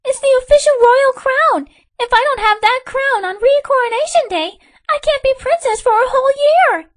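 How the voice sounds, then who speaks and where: A woman's voice: very high-pitched, moderate pace, fairly bright tone. A woman playing a young, melodramatic, bossy princess in a comic book fan dub, emphatic and indignant. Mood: fretful.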